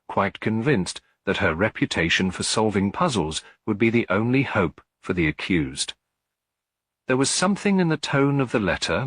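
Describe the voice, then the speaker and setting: A man's voice: sits low, fairly bright tone, slightly rough, dry recording. A man reading a mystery story aloud as a scripted audiobook narration.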